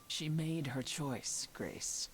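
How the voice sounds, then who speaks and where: An actress playing a grave, world-weary goddess in a dramatic video game, speaking in a measured, sombre, matter-of-fact way. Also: female voice, low-pitched, lively intonation, faint music behind, full character voice. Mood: resigned.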